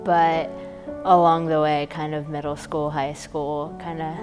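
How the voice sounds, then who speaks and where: Deep-voiced young woman over music. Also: level tone, a little slow. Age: young adult. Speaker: student giving testimony. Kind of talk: personal testimony.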